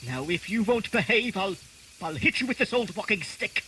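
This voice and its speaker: A man playing a timid, polite and flustered Victorian gentleman in a radio drama. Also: sits high, animated, normal speed, a little hiss or hum. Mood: indignant.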